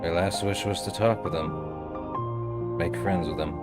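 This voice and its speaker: Chill reaction guy, deep-ish slow talker muttering little comments, music behind him. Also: steady tone. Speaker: reaction video host. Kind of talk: casual off-the-cuff reaction commentary. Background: game music.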